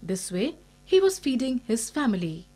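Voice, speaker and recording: A woman's voice: a little high, sing-song, normal speed, dark tone. Woman narrating a children's cartoon. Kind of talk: scripted storybook narration.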